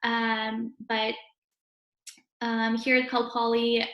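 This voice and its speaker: A woman's voice: fairly high pitch, monotone, slow pace, lo-fi sound. A woman in her early twenties from Russia, a native Russian speaker, answering interview questions casually as a university student. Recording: roomy, echoey sound.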